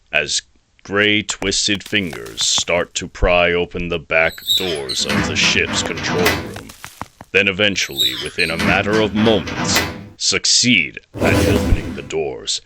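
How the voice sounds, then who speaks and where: A man's voice: deep voice, background noise. A man narrating a scripted audio drama in a dramatic storytelling style.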